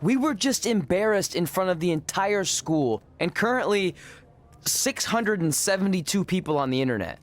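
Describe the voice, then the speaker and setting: A man's voice: high voice, sing-song, moderate pace. A man playing a self-deprecating, sarcastic and awkward young aspiring wrestler in a video game cutscene. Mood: sulky. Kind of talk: scripted game cutscene acting.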